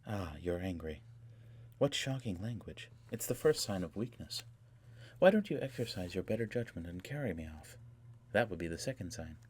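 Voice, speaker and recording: A man voicing a haughty, rapturous young noblewoman in an audiobook, with a soft, husky voice and a languid, taunting delivery. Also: low-pitched, sing-song, dry recording, full character voice. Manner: theatrical, languid, taunting, with drawn-out words.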